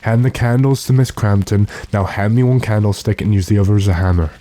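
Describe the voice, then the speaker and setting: Man acting a deep, dark-voiced commanding vampire hunter. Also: no echo, close to an everyday voice. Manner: deliberate, authoritative. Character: calm, commanding gentleman vampire hunter, master of a servant. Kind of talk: classic radio horror drama acting.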